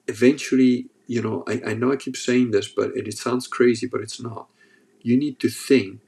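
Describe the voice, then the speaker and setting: A man's voice: medium pitch, vocal fry, darkish tone. A man with a sore throat hosting a casual livestream, reading chat and joking as he rambles. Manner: rambling, joking, restarts often.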